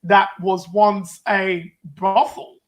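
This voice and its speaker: A man's voice: high voice, little pitch movement, takes it slowly. A young adult man from Nigeria, co-hosting a youth group chat in a playful, hesitant way with many restarts. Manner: hesitant, playful, self-deprecating, frequent restarts. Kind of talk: casual chat, playful game of two truths and a lie.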